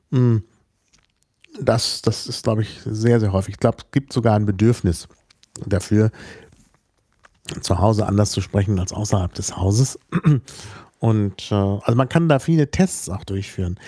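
A man from Hamburg being interviewed about languages, explaining slowly and thoughtfully, with many fillers and restarts. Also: lowish voice, mellow, breathy edge, no echo, studio quality. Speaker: linguist guest. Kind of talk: relaxed spoken explanation in an interview.

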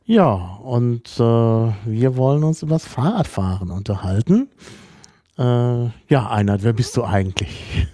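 A man hosting a podcast in a relaxed, chatty conversation, laughing now and then as he talks. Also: mid-range voice, measured pace, no room sound. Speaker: podcast host.

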